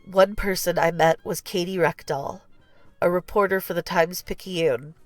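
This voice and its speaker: A woman reading a children's picture book aloud as scripted narration, with measured, deliberate phrasing and pauses. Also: fairly low pitch, steady tone, no echo, faint music behind. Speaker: story reader.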